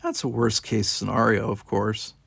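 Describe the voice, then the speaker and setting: A man voicing a calm, unflappable young male cadet in an audiobook, explaining things matter-of-factly. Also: fairly low pitch, moderate pace, gravelly, husky, no echo, exaggerated voice. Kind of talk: audiobook character voice.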